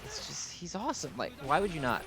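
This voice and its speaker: A man's voice: fairly high pitch, huge pitch swings, brisk. A man hosting a gaming video with casual, gushing, enthusiastic commentary, often slipping "like" into his sentences.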